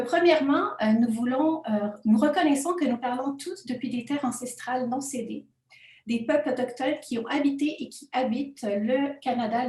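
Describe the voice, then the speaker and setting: Woman, non-native speaker, academic talk, hesitant, higher voice, distant mic in an echoey home office. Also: darkish tone. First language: not French. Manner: hesitant, frequent filler sounds. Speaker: conference presenter. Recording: roomy distant home recording.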